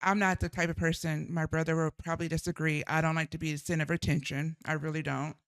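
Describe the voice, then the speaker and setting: A woman's voice: sits low, flat delivery, fast talker, no room sound. A middle-aged woman chatting with her mother, thoughtful and rambling, a little self-deprecating. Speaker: daughter and co-host. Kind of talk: casual chat.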